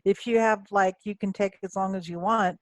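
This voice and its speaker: A woman's voice: medium pitch, steady tone, normal speed, dry recording. A woman with a mid-range voice co-hosts a casual talk show. The sound is dry, with little room around her, and her speech is informal and colloquial. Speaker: radio show co-host. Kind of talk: casual chat.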